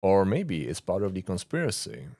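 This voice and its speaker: Czech-accented man, low dry voice. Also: big pitch swings, normal speed, mellow, no echo. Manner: earnest, informal, with frequent uh fillers and dry asides. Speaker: YouTube host, conspiracy researcher. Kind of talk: explainer monologue to camera.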